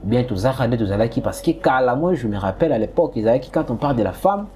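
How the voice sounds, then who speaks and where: A man's voice: mid-range voice, animated, fast, darkish tone, slight background noise. A young man in his twenties with a Congolese French accent, hosting an interview in a chatty way and slipping in Lingala words. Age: 20s. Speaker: host. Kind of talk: casual interview questions.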